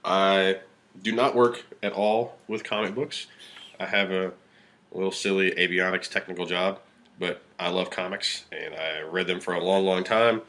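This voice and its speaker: A man's voice: deep voice, fairly even intonation, moderate pace, a bit bright. A young man co-hosting a podcast, chatting casually, rambling and trailing off mid-thought. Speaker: podcast co-host. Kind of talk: casual chat.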